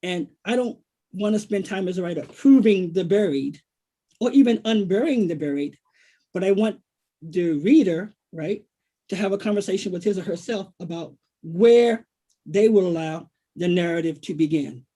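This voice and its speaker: American guy, kinda high raspy voice, thinking out loud, repeats phrases for emphasis, literary chat. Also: moderate pace. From Washington, D.C., United States. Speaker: poet and writer, guest author. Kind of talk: reflective literary conversation.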